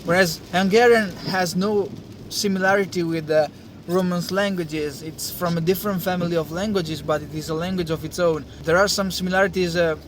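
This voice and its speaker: A man's voice: high-pitched, steady tone, a little fast, few pauses, a little hiss or hum. A young Italian man, a native Italian speaker, talking casually in English as host of a science channel, hesitant, with many fillers and restarts. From Italy. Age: young adult. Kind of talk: casual talk to camera.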